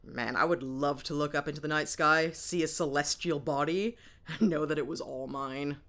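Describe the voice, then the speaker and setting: A woman's voice: low-pitched, normal speed, a bit bright. A woman playing a brash, jokey, chummy squad boss in a science fiction audio drama. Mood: candid, wry. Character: cocky, gregarious female squad boss in space. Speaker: sergeant.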